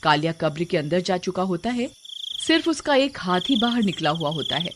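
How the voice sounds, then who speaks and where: A woman's voice: lowish voice, lively intonation, normal speed, background noise. A woman narrating a scripted cartoon horror story as the storyteller. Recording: music behind the voice.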